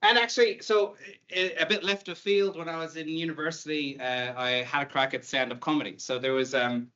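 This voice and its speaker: A man's voice: fairly high pitch, fairly even intonation, a little fast, pushed and bright, highs cut off. A man in his thirties with an Irish accent, a university lecturer giving an online talk in a conversational way, with light humor and many fillers. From Ireland.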